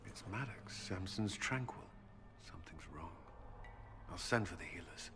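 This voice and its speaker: A low male voice plays a stern, duty-bound commander in a fantasy game. He is earnest and grave, measured in delivery and prone to breathy murmurs. Noticeable background noise and ambience sit behind him. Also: animated. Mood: grave, resolute. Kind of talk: dramatic video game acting.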